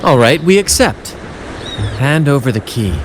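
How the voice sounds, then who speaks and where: A man's voice: medium pitch, expressive, a little slow, background noise, lightly acted. An actor in a video game playing a cheerful young sea captain, a showman with a breezy, teasing, dramatic delivery. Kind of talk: video game character voice acting.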